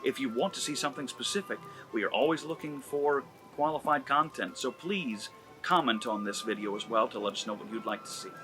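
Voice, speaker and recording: A man's voice: mid-range voice, brisk, bright, forceful tone, faint music behind. A man hosting a comedy channel, giving an erotic story a mock-serious dramatic reading. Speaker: narrator and host.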